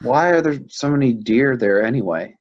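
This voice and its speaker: A man's voice: medium pitch, lively intonation, moderate pace, close to an everyday voice. A man in a staged play reading as an easygoing husband chatting casually with friends, heard through dull, lo-fi audio.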